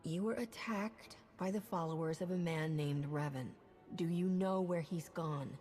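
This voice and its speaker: A woman's voice: fairly low pitch, a little slow, a little mellow, soft background music, close to an everyday voice. An actress playing an earnest, principled woman hero who speaks directly.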